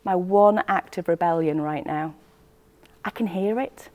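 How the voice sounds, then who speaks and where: A woman acting a first-person monologue as a lonely, wistful choir member, intimate and expressive in short clipped phrases. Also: on the low side, a little slow, close to an everyday voice. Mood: giddy, defiant.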